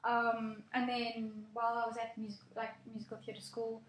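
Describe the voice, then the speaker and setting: A woman's voice: on the high side, monotone, normal speed, echoey room, a little hiss or hum, low-quality recording. A young woman singer telling a story in a radio interview, earnest, with many fillers. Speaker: singer, guest. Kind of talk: casual interview talk.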